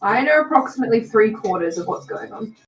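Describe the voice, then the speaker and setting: A woman with an Australian accent, a player at a tabletop game, animated and teasing in casual chat. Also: on the high side, fast, a little hiss or hum, low-quality recording.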